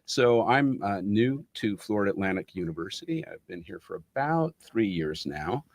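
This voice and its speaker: Deep-voiced man, halting and casual. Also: animated, no room sound. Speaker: podcast guest co-host, management professor. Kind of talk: casual conversational introduction.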